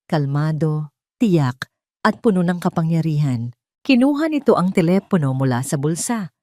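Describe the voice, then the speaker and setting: Low-pitched woman narrating a dramatic story. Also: expressive, normal speed, warm tone, dry recording, studio quality.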